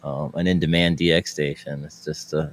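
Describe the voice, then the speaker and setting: Deep-voiced man, halting delivery. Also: level tone. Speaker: panelist on a talk show. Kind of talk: casual chat.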